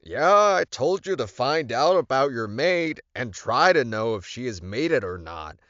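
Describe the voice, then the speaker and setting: Man playing an exasperated, scolding friend, raised pitch. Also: very animated, unhurried, fairly bright tone, no room sound, muffled recording. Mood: angry. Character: exasperated male friend scolding a werewolf alpha.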